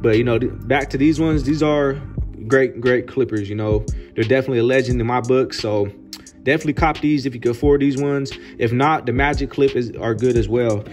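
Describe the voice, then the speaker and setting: A man's voice: medium pitch, darkish tone. A young man who works as a barber, giving a casual, slangy product tour and often saying "you know.". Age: young adult. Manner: laid-back, slangy, repeats "you know". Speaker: barber and vlogger. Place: barbershop, indoors.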